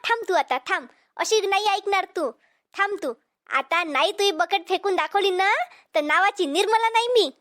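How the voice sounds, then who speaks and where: A woman voicing a quarrelsome cartoon village mother-in-law with a Vidarbha Marathi accent, scolding and threatening in a pitched-up voice. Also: moderate pace, a bit bright. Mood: furious, threatening. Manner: scolding, repetitive challenges. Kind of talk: cartoon comedy dialogue.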